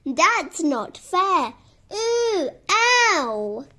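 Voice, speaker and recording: A woman's voice: extremely high pitch, big pitch swings, very slow, sharp tone, pitched above the performer's own voice, heavily acted. A woman voicing a sly, indignant male squirrel who pleads and coos in sing-song calls in a children's storybook.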